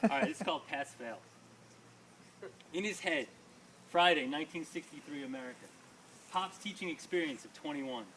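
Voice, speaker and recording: A man with a slightly high, bright and forceful voice reads poetry to a live audience. His delivery is emphatic and rhythmic, mixed with casual asides. The mic sits at a distance, with some faint noise around him. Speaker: poet reading own poems to an audience. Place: small live reading before an audience.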